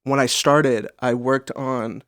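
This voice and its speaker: Male podcast co-host, average pitch, playful conversational explainer, very dry recording. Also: steady tone, takes it slowly, fairly bright tone, clear, resonant tone, no room sound. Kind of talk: casual podcast chat.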